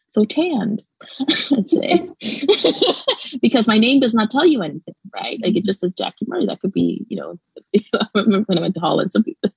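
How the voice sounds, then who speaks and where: A woman's voice: mid-range voice, gravelly, darkish tone, slightly rough, dry recording, poor audio. A Canadian woman with a light Jamaican lilt, speaking as a guest scholar in a casual interview, rambling with many restarts and fillers and laughing often.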